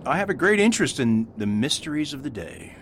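A man playing a calm, courteous priest detective who speaks in a measured, polite and persistent way, in an amateur radio drama. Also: medium pitch. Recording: noisy recording with steady hiss.

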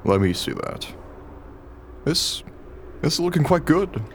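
A man's voice: on the high side, very animated, unhurried. A man playing a wry, grumbling, baffled working husband who is short of money, in a radio play. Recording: noisy recording, vehicle-like ambience.

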